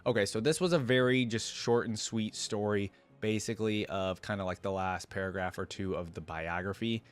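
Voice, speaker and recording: Low-voiced man reading and reacting. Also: normal speed, clean tone. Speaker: fan reaction video creator. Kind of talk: reading a fantasy story aloud with casual reaction asides.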